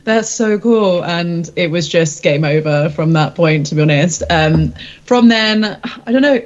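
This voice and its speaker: A woman's voice: fairly low pitch, measured pace. Chatty woman, slightly dull audio. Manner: chatty, rambling, informal. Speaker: musician, singer-songwriter being interviewed. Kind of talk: casual interview chat.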